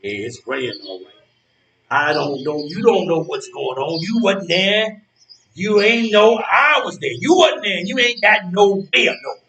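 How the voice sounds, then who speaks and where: A man's voice: high-pitched, expressive, unhurried, sharp tone, roomy sound, recorded from a distance, a little hiss or hum, heavily acted, rough recording. A man playing a grumpy, defensive character in a stage play, bickering with a rival in a combative, dismissive, colloquial way.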